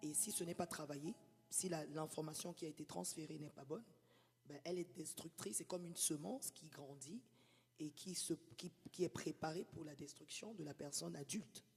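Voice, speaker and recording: A man's voice: a little high, moderate pace, vocal fry, slightly rough, long reverb tail, soft background music. A man hosting a podcast interview, reflective, searching for words and repeating himself. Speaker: host.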